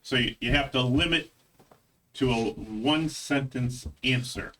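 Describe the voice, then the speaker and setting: A man hosting a casual interview show, talking in a relaxed way with fillers and stumbles. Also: medium pitch, measured pace, recorded from a distance. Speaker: host.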